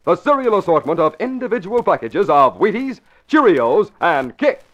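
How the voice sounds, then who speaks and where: Vintage American male radio announcer, high-pitched, scripted sponsor delivery, muffled 1940s sound. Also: big pitch swings, normal speed, a bit bright, slight background noise. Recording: dull old 1940s radio recording.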